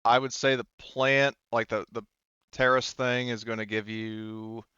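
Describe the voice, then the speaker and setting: Guy game mastering, lowish clean voice, super casual, dry muffled mic. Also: flat delivery, unhurried, bright, forceful tone, smooth, no echo. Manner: informal, occasionally swearing. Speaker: game master of a tabletop RPG. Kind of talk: casual game-running chat over rules and dice.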